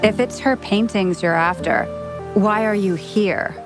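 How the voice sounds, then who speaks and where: A woman's voice: medium pitch, unhurried, lightly acted. An actress playing a guarded, casual woman exile in a video game, talking offhandedly over music. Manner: offhand, colloquial. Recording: music behind the voice.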